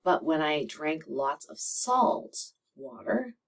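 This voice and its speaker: A middle-aged woman with a slightly raspy voice, a doctor chatting to camera, laughing often and using many fillers. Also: low voice, big pitch swings, takes it slowly, distant mic. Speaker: medical doctor and video host. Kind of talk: casual monologue to camera.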